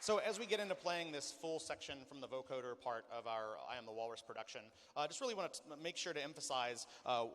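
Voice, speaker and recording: Male clinic presenter, mid-pitched and rapid, informal explainer delivery, recorded in a big echoing hall. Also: lively intonation, fairly bright tone, slight background noise. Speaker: music coordinator and sound designer giving a clinic. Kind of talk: spoken clinic presentation with demonstrations. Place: large hall, live clinic performance. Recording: long hall reverb.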